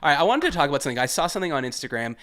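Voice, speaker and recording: A man in his forties hosting a podcast with casual, joking, animated chat. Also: fairly high pitch, rapid-fire. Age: 40s. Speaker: podcast host.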